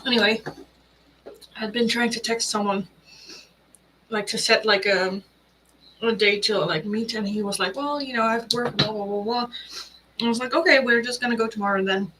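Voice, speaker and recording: Bright-voiced bubbly woman streamer. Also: a little high, steady tone, moderate pace, long pauses, slight background noise, low-quality recording. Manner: chatty, bubbly, rambling with many fillers. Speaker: tarot live streamer. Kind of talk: casual live stream chat.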